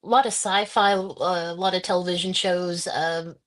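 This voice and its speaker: Flat-voiced woman guest, halting answers. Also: fairly low pitch. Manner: thoughtful, halting, many ums and uhs. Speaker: guest author. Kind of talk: interview answers.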